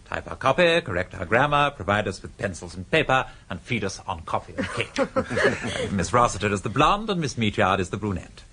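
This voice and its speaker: A flippant senior copywriter, droll and sardonic, with an upper-class British accent. His intonation is animated and theatrical in radio drama fashion, over faint background noise. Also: lowish voice, a little fast, heavily acted. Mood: droll, charming. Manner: droll, mock-grandiloquent, sardonic. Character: wry, flippant, well-educated senior advertising copywriter.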